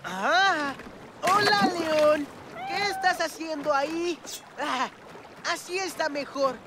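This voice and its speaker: A woman's voice: sits high, takes it slowly. A woman voicing an impulsive, mischievous young boy in a Latin American Spanish cartoon dub, whiny and full of exclamations. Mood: eager. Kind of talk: animated children's cartoon dub. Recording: loud music behind the voice.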